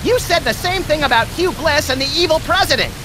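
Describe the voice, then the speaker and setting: An actor voicing a bratty, bloodthirsty little rabbit creature with an American accent, brash and sarcastic, in an animated comedy game. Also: male voice, extremely high pitch, brisk, background noise. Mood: excitable, sarcastic. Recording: loud music and rain behind.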